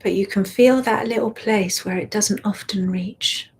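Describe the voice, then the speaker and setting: Slow, husky-voiced woman guiding meditation. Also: medium pitch. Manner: slow, soft and soothing, drifting into whispers. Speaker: meditation guide. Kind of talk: guided meditation.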